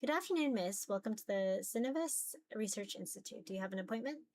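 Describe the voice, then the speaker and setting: A woman's voice: medium pitch, lively intonation, brisk, darkish tone, slight background noise, natural voice. A woman voicing a polite, efficient female receptionist with formal customer-service manners in a visual novel.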